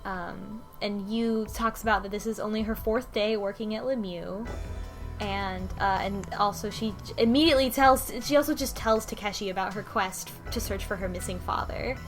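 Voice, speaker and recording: A woman's voice: medium pitch, soft background music. A woman casually recapping and discussing a story, often stopping to correct herself. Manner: halting, self-correcting. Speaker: podcast host.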